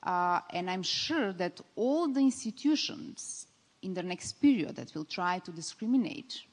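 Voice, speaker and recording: A woman speaking as a government representative, in halting English with frequent restarts. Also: lowish voice, animated. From North Macedonia. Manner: halting, non-native phrasing with restarts. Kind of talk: panel answer. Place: large conference hall. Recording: reverberant conference hall.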